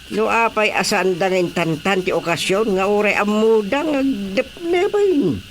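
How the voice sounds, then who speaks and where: A woman's voice: mid-range voice, lively intonation, moderate pace, slight background noise, close to an everyday voice. A woman with an Ilocano accent acting an opinionated, chiding village woman in a radio drama comedy. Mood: explaining.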